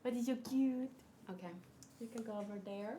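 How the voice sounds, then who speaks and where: A woman's voice: fairly high pitch, moderate pace, warm tone. A thirty-year-old woman streamer chatting casually in a gushing, cutesy, rambling way. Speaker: streamer, host of a gaming channel. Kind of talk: casual livestream chat while unboxing plush gifts.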